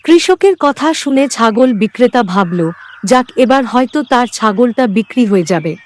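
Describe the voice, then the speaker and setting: A woman's voice: on the high side, expressive, normal speed. A woman narrator reading a scripted cartoon horror tale as a storyteller.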